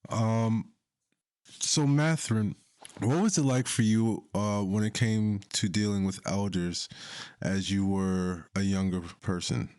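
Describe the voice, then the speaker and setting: A man hosting a casual podcast discussion, speaking in a deliberate, opinionated way. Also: on the low side, steady tone, a little mellow, clean tone, no echo, studio quality. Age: young adult to middle-aged. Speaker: podcast host.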